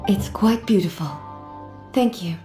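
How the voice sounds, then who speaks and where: An actress playing a calm, reflective immortal goddess ruler in a video game, speaking in a formal, measured, contemplative way. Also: female voice, medium pitch, roomy sound, over music, lightly acted. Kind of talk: scripted video game dialogue.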